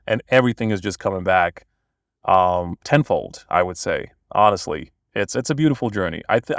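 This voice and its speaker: Guest, young Bosnian man, deeper voice, keen storyteller, dry close mic. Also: no room sound. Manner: enthusiastic, storytelling. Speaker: guest, copywriter. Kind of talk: podcast interview.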